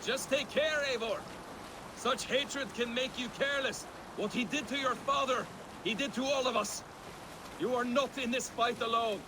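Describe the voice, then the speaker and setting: A man's voice: extremely high pitch, normal speed, background noise. An actor playing a blunt, crude but loyal Viking crewman in a video game, sardonic and needling. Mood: scolding. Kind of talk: video game cutscene acting.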